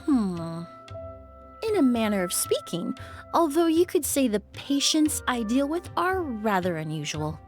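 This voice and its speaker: A woman voicing a composed young perfumer in a video game, speaking in a measured, explanatory way. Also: fairly high pitch, very animated. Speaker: perfumer with medical knowledge. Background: faint game music.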